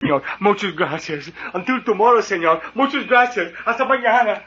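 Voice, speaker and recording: Vintage radio adventure, muffled: shifty, wheedling guide, Spanish accent, high voice. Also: male voice, animated, a little fast, bright, forceful tone. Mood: pleading, servile. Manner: wheedling, servile, protesting. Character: cringing, pleading South American jungle guide, shifty fugitive. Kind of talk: 1940s radio acting. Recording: dull, noisy vintage radio recording.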